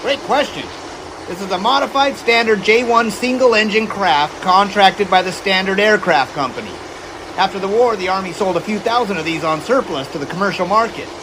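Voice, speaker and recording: Engine noise sits clearly behind a high male voice. It is an affable, folksy 1920s test pilot played by an actor, sure of himself, in a radio adventure. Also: normal speed. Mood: informative. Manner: folksy, shouts over the engine.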